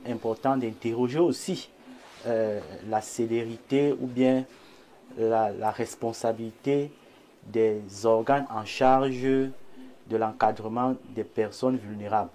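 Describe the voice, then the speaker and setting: A man with a Cameroonian accent gives analytical commentary as a panelist, measured and formal in his wording. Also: medium pitch, steady tone, mellow. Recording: clear background noise.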